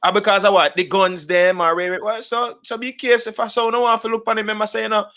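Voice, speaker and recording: Rapid high rousing Jamaican man. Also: little pitch movement, bright, forceful tone. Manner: rousing, rhetorical questions. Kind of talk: impassioned call to action.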